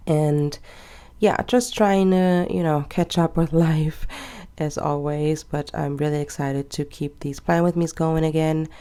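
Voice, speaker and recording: Low mellow voice, twenties woman chatting away while she plans, kinda rambly. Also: steady tone, unhurried. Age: 20s. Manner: chatty and rambling, with soft laughs. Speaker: planner vlogger. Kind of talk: casual chat while planning.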